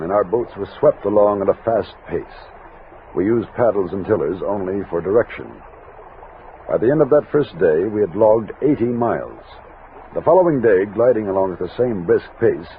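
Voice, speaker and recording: Casting: commanding expedition captain, Western radio drama; deep, lively-pitched, measured storyteller, tinny hissy vintage recording. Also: male voice. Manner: measured storytelling narration, firm orders. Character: steady commanding army captain leading a frontier expedition. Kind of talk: 1960s Western radio drama acting and narration. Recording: thin lo-fi old radio recording, hiss.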